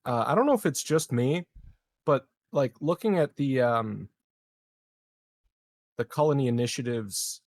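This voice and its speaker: Guy player at a game table, halting casual talk, average pitch, dry close mic. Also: expressive, darkish tone, no echo.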